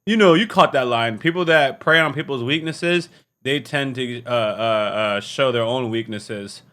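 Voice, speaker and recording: A man's voice: medium pitch, a little slow, sharp tone. A man hosting a reaction video, commenting casually in a slangy, musing way. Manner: slangy, musing, repeats lines he likes.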